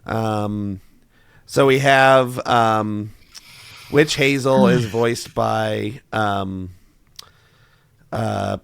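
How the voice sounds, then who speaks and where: Hoarse, gravelly man hosting a podcast. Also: on the low side, steady tone, extremely slow, pauses often, no room sound. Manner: chatty, thinks aloud with many fillers. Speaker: podcast host. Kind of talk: casual quiz chat.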